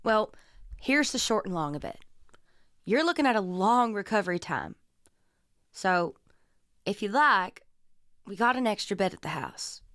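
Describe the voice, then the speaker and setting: A woman's voice: mid-range voice, big pitch swings, measured pace, long pauses, fairly bright tone, dry recording, studio quality. A woman playing a blunt, protective, no-nonsense sister with an American accent in a naturalistic radio drama. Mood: firm, caring. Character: blunt, protective adult sister, Texan mother.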